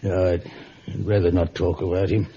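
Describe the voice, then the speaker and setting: A man playing a measured, reflective, gentlemanly judge in a vintage radio drama. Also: low voice, level tone, natural voice. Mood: somber, reluctant. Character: genial, measured male judge with somber reticence. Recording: muffled, hissy old radio recording.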